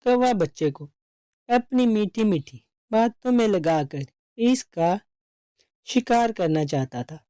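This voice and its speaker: A woman's voice: mid-range voice, expressive, slow pace, dark tone, no echo, studio quality. A woman narrating a scripted children's story as its storyteller.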